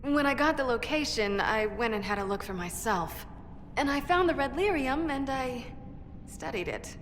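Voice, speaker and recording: A woman's voice: high voice, expressive, moderate pace, fairly bright tone. Echoing reverb, background noise, brash female dwarf smith, blunt, wry, defensive, game cutscene. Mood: defensive, earnest. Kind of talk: video game cutscene acting.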